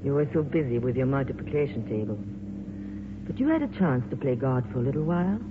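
An actress playing a world-weary, glamorous film star in a 1950s radio drama, with a wry, reflective, theatrical manner. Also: female voice, a bass voice, lively intonation, quick pace. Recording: muffled, noisy 1950s radio recording.

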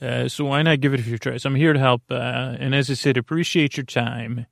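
A man hosting a bedtime podcast in a creaky, soothing voice, meandering haltingly through tangents and interrupting himself to lull listeners to sleep. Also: medium pitch, fairly even intonation, dry recording, studio quality. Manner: soothing, lulling. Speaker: podcast host. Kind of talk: rambling bedtime monologue to help listeners sleep.

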